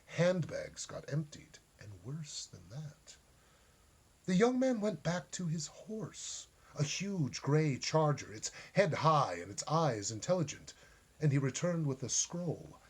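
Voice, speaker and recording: A man reading a short story aloud as an expressive narrator. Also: on the high side, takes it slowly, breathy edge. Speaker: story reader.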